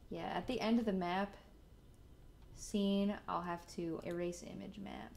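A young adult woman hosting a casual software tutorial, explaining each step from a desk in a room. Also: mid-range voice, fairly even intonation, measured pace, vocal fry, soft background music. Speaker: tutorial host.

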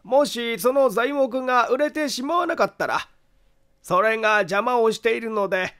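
Storybook character: shifty, pompous man acted by a male reader, high-pitched, coy and full of excuses. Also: moderate pace, fairly bright tone, clean tone. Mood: self-important. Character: evasive, self-important, oddly behaved man, Akai.

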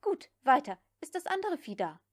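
A headstrong young lady of the old South, acted by a woman narrator, speaks high and curt. She snaps out orders in a harsh, commanding manner, as in a novel read aloud. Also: big pitch swings, normal speed. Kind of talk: audiobook character dialogue.

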